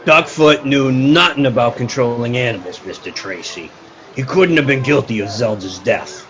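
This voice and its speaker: A man's voice: mid-range voice, normal speed, fairly bright tone, highs cut off, lightly acted. A man playing a businesslike, world-weary circus showman in a radio play, matter-of-fact and deferential.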